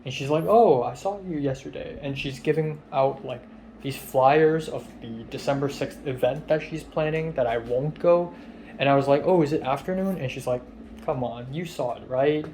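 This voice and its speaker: A man's voice: medium pitch, moderate pace, a little mellow, a little hiss or hum. A young man from Hong Kong talking casually in a spoken video diary, frank and rambling, with frequent fillers and swearing. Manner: rambling, frank, swears freely, many ums. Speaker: vlogger.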